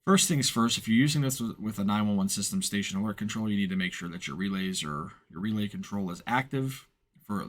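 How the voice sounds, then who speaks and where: A man talks through an unscripted step-by-step software tutorial in an informal, matter-of-fact, explanatory way. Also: lowish voice. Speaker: instructor, software tutorial presenter.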